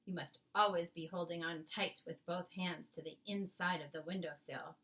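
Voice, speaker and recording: A woman voicing a gentle, cautious male giant from a children's storybook, with folksy, mangled grammar. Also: on the low side, steady tone, moderate pace, far from the mic, lightly acted. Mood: careful, protective. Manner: folksy, ungrammatical phrasing. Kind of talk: children's storybook character read aloud.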